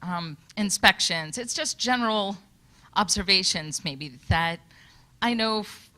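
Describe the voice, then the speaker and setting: Earnest, nervous woman with a bright voice. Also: medium pitch, unhurried. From Michigan. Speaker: audience member at a public workshop. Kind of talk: public comment at a workshop. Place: conference hall. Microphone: handheld microphone.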